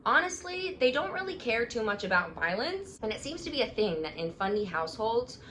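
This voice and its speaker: A young woman vlogging in a casual, sarcastic way, reading out a review and mocking it with quick asides. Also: a little high, sing-song, fairly bright tone, a little hiss or hum, low-quality recording.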